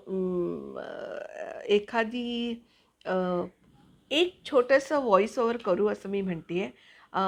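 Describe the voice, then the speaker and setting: Woman chatting to her channel viewers, mid pitch, explains things casually, pauses to find words. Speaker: actor and video channel host.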